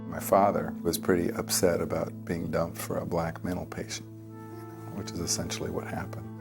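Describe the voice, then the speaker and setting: Documentary interviewee, male. Deep with vocal fry, plain-spoken and halting, reflective personal storytelling. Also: level tone, music in the background.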